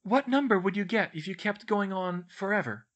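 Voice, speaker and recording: A man voicing a clever, smug schoolgirl with a brisk, precise, explanatory way of talking in a storybook reading. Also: high voice, lively intonation, dull audio, voice pushed up. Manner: brisk, explanatory, matter-of-fact. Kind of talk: audiobook character voice.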